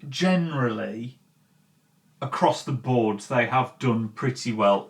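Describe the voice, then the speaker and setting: A man hosting an opinionated commentary show, talking conversationally and emphatically in an informal, mildly sweary way. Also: medium pitch. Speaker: host of a film and games commentary show. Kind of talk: opinionated video essay talk, conversational.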